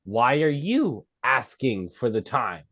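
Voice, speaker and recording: A man's voice: mid-range voice, very animated, takes it slowly, a bit bright, exaggerated voice. A man at a script table read playing a blunt, sarcastic woman who keeps a club's books, curt and mocking. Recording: dull, lo-fi sound.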